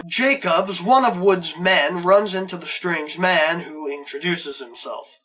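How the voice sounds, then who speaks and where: A man's voice: high-pitched, a little slow, music in the background. A man narrating a scripted plot recap as host of a review show.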